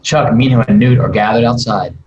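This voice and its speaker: A man's voice: mid-range voice, a little fast, highs cut off. A man reading stage directions as narrator at a script table read. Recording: roomy echo with faint noise.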